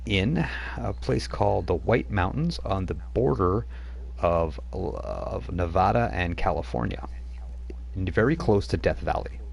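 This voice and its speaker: A man's voice: low-pitched, expressive, measured pace, vocal fry, darkish tone, a little hiss or hum. A man narrating as game master of a tabletop role-playing game, rambling with many fillers.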